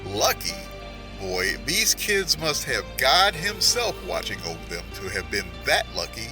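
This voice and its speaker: A man's voice: medium pitch, big pitch swings, unhurried, music in the background. A man playing a suspicious, accusatory media pundit in an audio drama. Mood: indignant, sneering. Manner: accusatory, incredulous ranting. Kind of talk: broadcast debate in an audio drama.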